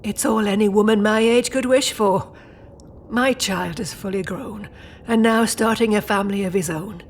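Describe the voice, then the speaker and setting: A woman's voice: mid-range voice, normal speed, slight background noise, lightly acted. A woman playing a proud, old-fashioned British mother and grandmother-to-be, formal and stern yet doting, in an audio drama. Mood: fond.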